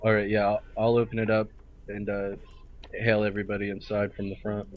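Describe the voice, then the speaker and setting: A man playing a role-play game over online voice chat, casually and deliberately talking through each move. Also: lowish voice, monotone. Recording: dull, lo-fi voice chat audio.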